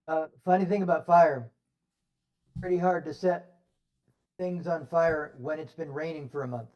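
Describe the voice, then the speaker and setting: A man's voice: a little high, fairly even intonation, dull audio, natural voice. A man playing a skeptical Southern-drawling marine woman. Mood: wry. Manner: hesitant, halting, dry.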